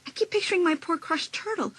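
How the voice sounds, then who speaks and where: A woman's voice: very high voice, fast, fairly bright tone. A young woman playing an anxious, frightened teenage girl who keeps a secret, in a dramatised audiobook. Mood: worried. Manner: breathy, often dropping to urgent whispers. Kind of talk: dramatised audiobook acting with inner monologue.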